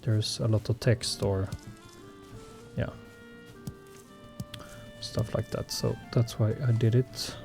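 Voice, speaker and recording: A man's voice: on the low side, steady tone, creaky, music in the background. A man whose first language is Swedish, commenting on his survival gameplay in a hesitant way full of ums and uhs. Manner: slow, hesitant, many fillers. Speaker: gaming channel host.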